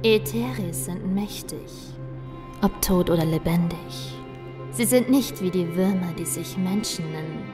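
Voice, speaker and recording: A vengeful female gang leader from a fantasy horror radio play, acted by a woman. She talks slowly and mockingly, with menace in every phrase. Music plays behind her. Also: on the low side, lightly acted. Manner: taunting, menacing. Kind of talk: German audio drama acting.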